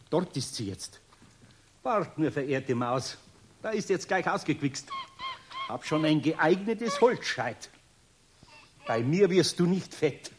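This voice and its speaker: A man's voice: on the high side, background noise. A man playing a middle-aged, good-natured, grumbling master carpenter with a Bavarian accent in a children's radio play. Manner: muttering to himself, grumbling.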